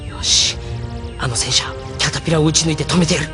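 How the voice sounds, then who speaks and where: A man's voice: a little high, steady tone, fast talker, music in the background, lightly acted. A man playing a brave, impulsive young mecha pilot in a Japanese audio drama. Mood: determined. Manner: impulsive, defiant.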